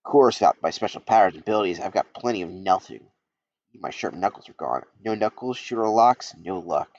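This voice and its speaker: A man's voice: low-pitched, animated, fairly quick, a little mellow. A man voicing a blunt, wry young male echidna guardian, in a dull lo-fi recording.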